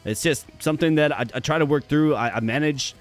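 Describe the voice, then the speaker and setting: Brief: upbeat male game streamer, slightly high voice, worn-sounding, occasional stutter, soft music behind. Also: a little fast. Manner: upbeat, chatty, addresses viewers directly; stutters sometimes, repeats words. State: strained, worn voice. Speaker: gaming streamer. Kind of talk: casual live-stream commentary.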